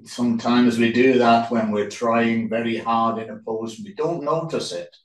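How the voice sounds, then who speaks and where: A man leading a yoga relaxation as instructor, speaking calmly in short, unhurried phrases with pauses. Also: mid-range voice. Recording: roomy echo, distant microphone.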